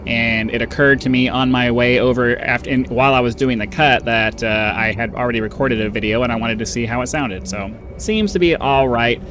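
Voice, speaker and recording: Nasal guy rambling through a let's play, lots of uhs, mic with the bass turned up, some hiss behind him. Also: fairly quick, hardly any pauses. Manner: rambling, chatty, with frequent uhs and little laughs. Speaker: gaming channel host. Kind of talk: casual let's-play commentary. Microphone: desktop microphone with bass boosted by an equalizer.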